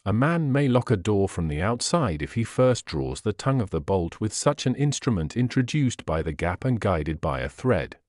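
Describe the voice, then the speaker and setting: A coolly analytical gentleman detective of Victorian times, read by a male narrator. He is precise, erudite and dry, in a low voice with lively movement, as heard in an audiobook. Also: moderate pace, hardly any pauses, no room sound. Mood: analytical, assured. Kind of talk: audiobook character voice.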